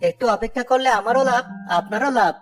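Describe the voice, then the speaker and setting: Woman playing a fast-talking, greedy cartoon villager man. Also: fairly high pitch, faint music behind, lightly acted. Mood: won over. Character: greedy, irritable village man, scheming and gullible. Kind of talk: children's cartoon voice acting.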